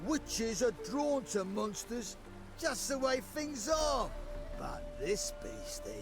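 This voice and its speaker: A man's voice: very high voice, very animated, slow, music in the background, heavily acted. An actor playing a menacing, drunken male thug who taunts in a drawl in a video game. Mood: taunting, menacing. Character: menacing, leering male ruffian, a drunken bigot. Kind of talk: video game villain acting.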